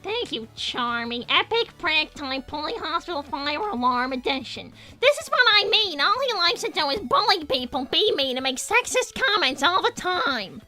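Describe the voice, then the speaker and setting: A woman's voice: high-pitched, big pitch swings, moderate pace, few pauses, bright, forceful tone, faint music behind, full character voice. A woman voicing a bratty, defensive young female hedgehog, indignant and sassy, in improvised livestream comedy. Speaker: character host reading viewer messages.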